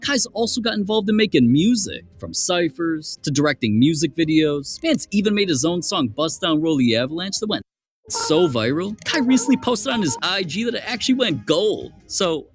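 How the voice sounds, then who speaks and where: A man hosting a YouTube commentary, narrating in a scripted, teasing, joking way. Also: on the high side. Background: background music.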